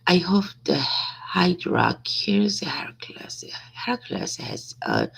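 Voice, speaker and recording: A woman reading a simple story aloud as practice, hesitantly, repeating and correcting words, with a raspy, creaky voice. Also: deep voice, rough recording.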